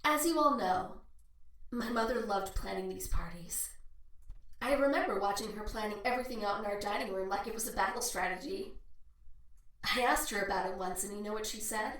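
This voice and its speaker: A woman's voice: medium pitch, normal speed, fairly bright tone, close to an everyday voice. A woman playing a young heiress giving a heartfelt, wry speech at a formal party in an audio drama. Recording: echoey hall PA, distant, faint music.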